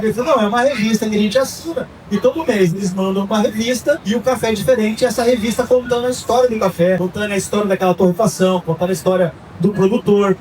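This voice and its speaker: Fast-talking man telling a story. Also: high-pitched, darkish tone, distant mic, rough recording. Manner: quick, chatty, full of fillers and asides. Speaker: coffee business founder giving a talk. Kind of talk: storytelling talk to a live audience.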